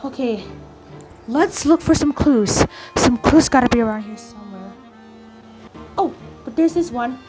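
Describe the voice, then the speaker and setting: Female game streamer, slightly high pitch, stumbling read-aloud of on-screen dialogue, light music underneath. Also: animated, measured pace, long pauses, a little mellow. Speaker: gameplay streamer. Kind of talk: reading game dialogue aloud during a playthrough.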